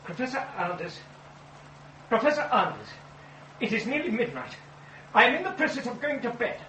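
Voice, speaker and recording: A man's voice: extremely high pitch, sing-song, normal speed, pushed and bright, reverberant, distant mic, slight background noise, exaggerated voice, poor audio. A man playing a pompous, indignant scholar in a period ghost story drama, speaking formally and with exasperation. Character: pompous, indignant male English academic scholar.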